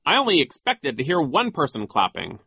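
A man's voice: sits high, wildly swooping pitch, a little slow, pushed and bright, no room sound, pitched above the performer's own voice, heavily acted. A man voicing a vain, pompous and nasty male school vice principal in a sneering, mocking manner for an audiobook. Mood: nasty, dismissive. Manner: sneering, self-important, mocking.

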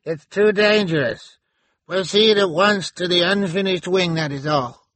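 A man voicing a teenage girl posing as an officious hospital official, in slow, loud announcements for an audiobook. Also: high voice, dry recording, pitched above the performer's own voice. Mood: officious, urgent. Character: teenage girl impersonating hospital human resources head on intercom. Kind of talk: audiobook character acting.

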